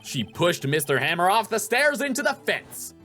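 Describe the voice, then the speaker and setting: A man's voice: high voice, very animated, normal speed, bright, forceful tone, soft background music, full character voice. A man voicing an earnest, insistent young defense lawyer in a video game, with an emphatic delivery. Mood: accusing, emphatic. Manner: emphatic, declaratory.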